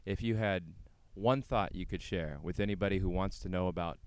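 A man's voice: sits low, moderate pace, clean tone. A man hosting a radio show, interviewing guests and presenting links between segments.

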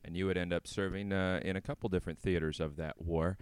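A man's voice: low-pitched, fairly even intonation, fast talker, clean tone. A man hosting a radio show, interviewing guests and presenting links between segments.

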